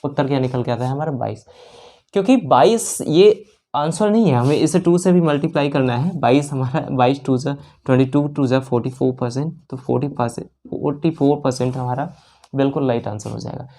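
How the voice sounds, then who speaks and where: A man's voice: medium pitch, a little fast, warm tone. A man teaching an exam-prep maths lesson as a voice-over, working each sum aloud step by step and often checking in with his listeners. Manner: works sums aloud step by step, mixing English terms and numbers into the talk. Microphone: voice-over of a screen lesson.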